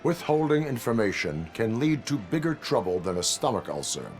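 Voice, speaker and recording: An actor playing a stern, unflappable cyborg police officer in a video game, speaking in formal, deadpan, clipped commands. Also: male voice, medium pitch, normal speed, faint music behind, natural voice.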